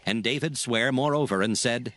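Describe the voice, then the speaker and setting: A man's voice: mid-range voice, normal speed, fairly bright tone. A man narrating a dramatized scripture reading in solemn, formal archaic phrasing.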